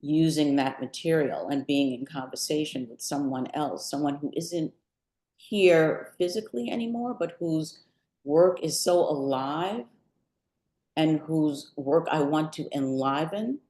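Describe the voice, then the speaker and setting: An older woman with a low voice speaks slowly and thoughtfully, pausing mid-sentence as she reflects on her work. The recording is roomy and echoing. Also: warm tone. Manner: measured, thoughtful, with deliberate pauses mid-sentence. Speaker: poet and artist being interviewed. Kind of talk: reflective talk about own creative work at a book event. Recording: roomy, echoing live event sound.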